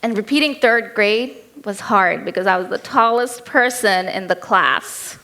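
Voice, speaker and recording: A woman's voice: medium pitch, measured pace, pushed and bright. A woman in her thirties with an Indian accent, giving a keynote talk as a personal story told in a candid, lightly humorous way. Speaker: keynote speaker.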